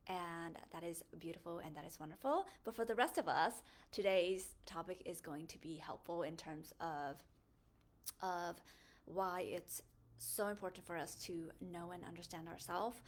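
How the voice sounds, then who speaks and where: A young woman from Thailand, raised in the United States, sharing her story in an earnest, thoughtful monologue with frequent fillers and self-corrections. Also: fairly low pitch. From born in a refugee camp in Thailand, Hmong, raised in the United States. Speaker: host of a faith podcast. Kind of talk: reflective personal monologue.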